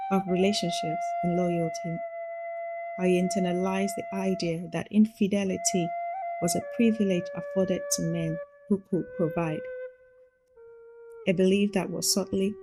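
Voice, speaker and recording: Female narrator, lower register, measured and formal scripted storytelling, clear flute music underneath. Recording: music playing behind the voice.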